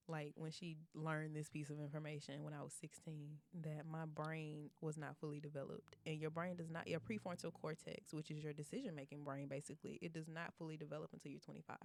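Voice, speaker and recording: A woman's voice: sits low, no echo. Chatty woman in her twenties. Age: 20s. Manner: chatty, storytelling, many fillers and quick laughs. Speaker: podcast co-host. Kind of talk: casual chat.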